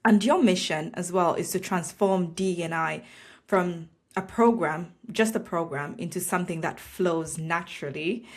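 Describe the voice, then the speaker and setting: A woman from Zambia is hosting a podcast, with a somewhat low voice. She talks casually, agrees with her guest and asks open questions. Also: moderate pace. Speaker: podcast host. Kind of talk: conversational podcast interview.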